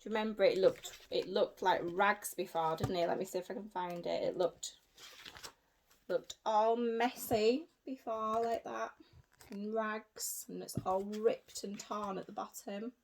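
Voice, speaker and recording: A woman reading a story aloud for young children as a teacher, in an animated way with simple repeated phrases and asides to her listeners. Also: medium pitch, measured pace, slight background noise. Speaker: early years teacher.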